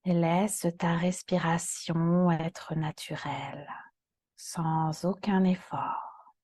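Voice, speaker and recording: A woman yoga teacher guiding a relaxation meditation in a calm, soothing, soft voice that often turns breathy. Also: lowish voice, no room sound. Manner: calm, soothing, gentle instructions with long pauses.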